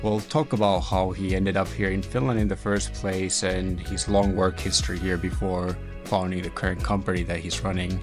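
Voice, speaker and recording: A man's voice: low voice, little pitch movement, moderate pace, hardly any pauses, over music. A man hosting a podcast, opening with an intro and then asking short, encouraging questions in a casual interview. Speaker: podcast host.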